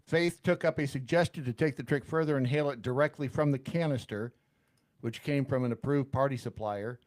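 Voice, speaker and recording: A man's voice: medium pitch, brisk, a little mellow. A man co-hosting a show, chatting casually and reading odd news items aloud. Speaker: co-host.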